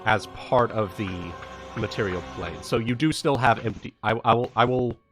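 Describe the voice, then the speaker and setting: A man narrating a live tabletop roleplaying session as game master, halting as he searches for words. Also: lowish voice. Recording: music playing behind the voice. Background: background music.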